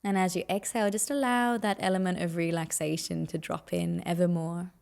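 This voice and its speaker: A woman leading a guided meditation in a soothing, unhurried manner, giving gentle instructions with pauses. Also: on the low side, little pitch movement, vocal fry.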